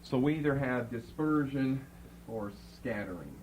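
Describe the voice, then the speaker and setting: A man giving a Bible lecture to a class, spelling out words letter by letter and repeating key terms slowly. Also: medium pitch, expressive, a little mellow, slight background noise, poor audio. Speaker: Bible teacher. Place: classroom with students writing.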